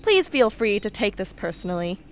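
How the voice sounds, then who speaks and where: A woman's voice: medium pitch, sing-song, normal speed. A woman playing a blunt, sardonic, tough young patient in an amateur radio drama, with a mocking delivery. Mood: blunt, scornful. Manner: sarcastic, mocking. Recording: noisy amateur radio play recording.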